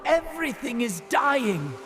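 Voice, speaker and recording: A man voicing a small, brave toad in an animated fairy tale, earnest and pleading in manner. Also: extremely high pitch, measured pace, slight background noise, exaggerated voice.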